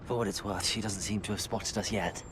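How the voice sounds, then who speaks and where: A man's voice: on the low side, fairly even intonation, fairly quick, background noise, lightly acted. A young man acting a composed, courteous teenage student wizard in a game cutscene. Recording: music under the voice.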